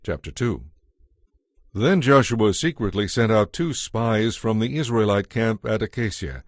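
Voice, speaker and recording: Male scripture narrator: deeper register, solemn and measured, close dry recording, storytelling delivery. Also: lively intonation, no echo. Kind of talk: scripted audio Bible narration.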